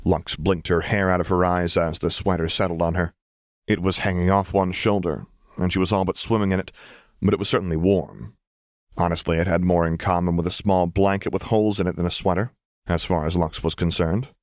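Low-voiced man narrating fiction. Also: fairly even intonation, fairly quick, no room sound, studio quality. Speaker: audiobook narrator.

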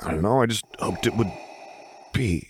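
A man's voice: lowish voice, animated, moderate pace, slight background noise, close to an everyday voice. A man voicing a shy, flustered young boy who is anxious to please and speaks hesitantly, with stammers. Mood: sheepish.

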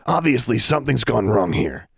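A man voicing a folksy, calm school custodian in a storybook reading. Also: mid-range voice, normal speed, creaky, breathy edge, no echo, clean studio sound, pitched above the performer's own voice, exaggerated voice. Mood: placating.

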